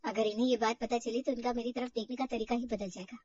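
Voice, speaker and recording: Woman voicing a fretful, high-voiced young woman. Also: fairly even intonation, quick pace, a little mellow, dry recording, recorded from a distance, muffled recording, close to an everyday voice. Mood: anxious brooding. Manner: fretful, defensive. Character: insecure, self-doubting young woman from Old Delhi.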